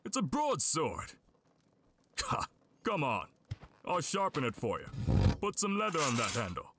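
A man's voice: fairly high pitch, huge pitch swings, unhurried, a bit bright, a little hiss or hum, heavily acted. A man playing a brusque, good-humoured, folksy town blacksmith in a fantasy audio drama. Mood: jovial, salesmanlike. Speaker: voice actor.

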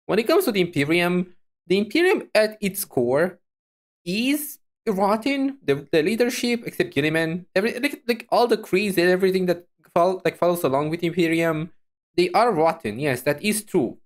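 A woman's voice: on the low side, expressive, normal speed. A woman reacting to a video as a VTuber, musing aloud in a casual, hesitant, repetitive way.

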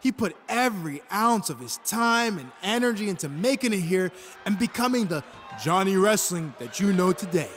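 An actor playing a cocky, taunting wrestling champion making a boastful, deliberate speech in a video game. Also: male voice, high-pitched, animated. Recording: loud arena crowd noise.

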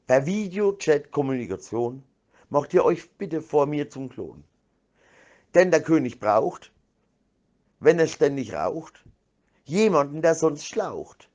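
A man's voice: fairly high pitch, sing-song, slow, pauses often, a little mellow, dull audio. Man rambling in slangy, repetitive speech.

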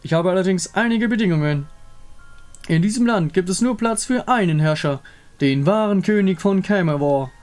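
Ein Mann spricht einen hochmütigen, bedrohlichen untoten König in einem Fantasyspiel, herrisch und pathetisch. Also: male voice, high-pitched, moderate pace, darkish tone, soft background music, voice pushed up, lightly acted. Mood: imperious. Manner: grandiose, imperious. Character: haughty menacing undead king, grandiose and lovesick.

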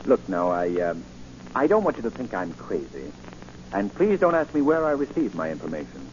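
An actor playing a suave, composed gentleman sleuth, courteous and assured, in 1940s radio style. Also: deep voice, big pitch swings, normal speed. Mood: urgent. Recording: muffled, hissy 1940 radio recording.

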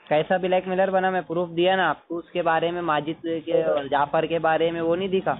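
A man's voice: on the high side, level tone, fairly quick. A young man from Nagpur on a heated phone call, insistently accusing and lecturing the other man. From Nagpur, India. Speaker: caller. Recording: tinny phone line with faint hiss.

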